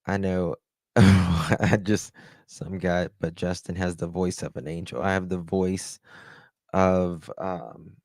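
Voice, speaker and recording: A low-pitched man talks unhurriedly to his live-stream audience, chatty and self-deprecating, reading out names from chat and thanking them. His voice sits deep and the sound is dry and close, with no room around it. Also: steady tone, slow, a little mellow, dry recording. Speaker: livestream host.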